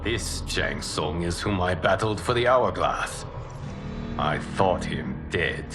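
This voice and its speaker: A man playing a solemn, stern protector of the realms in a video game, speaking in a measured, formal way. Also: deep voice, lively intonation, heavily acted. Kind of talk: dramatic video game acting. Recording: loud cinematic music behind voice.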